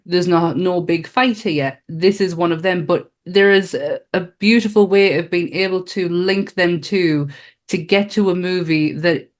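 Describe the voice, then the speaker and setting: A woman's voice: on the low side, unhurried. A woman with a Northern English accent, co-hosting a casual podcast chat in a frank, reactive way. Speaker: podcast co-host.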